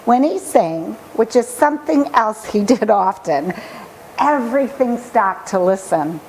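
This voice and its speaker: A woman storyteller reading a children's picture book aloud with expressive, deliberate phrasing. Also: a little high, a little hiss or hum, low-quality recording.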